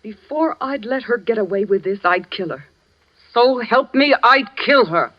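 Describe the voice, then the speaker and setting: A dull vintage radio recording of an actress playing a jealous woman scorned. Her delivery is intense and melodramatic, with pitch that swoops widely in old-time radio style. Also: lively intonation, normal speed, natural voice. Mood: desperate, vengeful. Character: jilted, jealous, vengeful woman in love. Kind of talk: 1940s radio melodrama acting.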